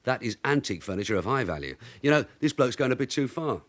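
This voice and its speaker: An actor playing a shrewd veteran detective sergeant, wry, businesslike and commanding, in a radio drama. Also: male voice, medium pitch, very animated, quick pace, fairly bright tone. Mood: wry, weary. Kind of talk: British radio drama acting.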